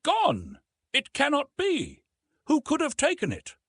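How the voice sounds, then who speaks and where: Man putting on a high, fussy old professor. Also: huge pitch swings, unhurried, a bit bright, no echo, studio quality, heavily acted. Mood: agitated. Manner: fussy, repetitive, pompous. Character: fussy, absent-minded old male professor, excitable. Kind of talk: dramatised audiobook reading.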